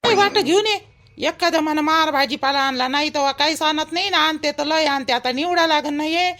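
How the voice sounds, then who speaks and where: A woman's voice: sits high, brisk, a bit bright. A woman playing a practical, nagging village mother of a grown son in a family cartoon, with a Vidarbha Marathi accent. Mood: chivvying. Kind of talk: family drama cartoon dialogue.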